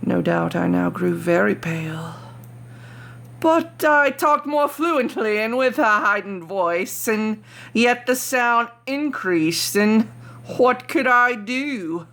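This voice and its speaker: A woman's voice: medium pitch, lively intonation, takes it slowly, creaky, a bit bright, heavily acted. A woman voicing an unhinged, cunning murderer in a theatrical, intense dramatic reading of a horror story. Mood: agitated, mounting panic. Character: unhinged murderer, smug and cunning, growing frantic.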